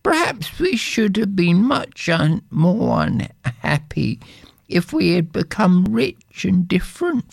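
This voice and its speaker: A woman's voice: creaky, darkish tone, no room sound, clean studio sound, full character voice. A woman voicing a poor, fretful peasant wife who wails and moans melodramatically. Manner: shrill and melodramatic, moaning. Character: poor, wailing peasant wife, middle-aged, fretful and dramatic.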